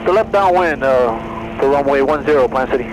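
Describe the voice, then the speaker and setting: A man learning to fly, making halting radio calls through an aircraft headset. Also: medium pitch. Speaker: student pilot. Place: small light aircraft cockpit. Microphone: aviation headset. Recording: engine noise, aircraft headset audio.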